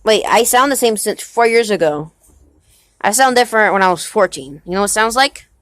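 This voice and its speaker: A young man playing a halting nineteen-year-old husband. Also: sits high, animated, a bit bright, no room sound. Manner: hesitant, halting, many restarts. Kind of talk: amateur home movie acting.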